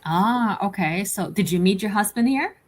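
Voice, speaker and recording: A woman's voice: mid-range voice, lively intonation, normal speed, slight background noise. A woman from Canada hosting a live online interview, upbeat and repeating words for emphasis. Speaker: host.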